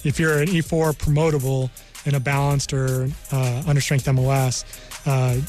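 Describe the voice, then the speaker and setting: An American man, a guest on a radio interview, explaining things in a measured, halting way. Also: mid-range voice, level tone, a little mellow. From New Mexico, United States. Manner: halting, measured, repeats "you know". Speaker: guest, army career counselor. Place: radio studio. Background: music.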